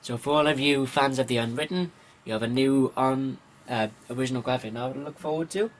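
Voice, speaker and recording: A man from Wales gives an informal vlog-style news rundown in a halting, hesitant way, pausing often with ums. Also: mid-range voice, a little hiss or hum. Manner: halting, hesitant delivery with frequent pauses and ums. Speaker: comics vlogger.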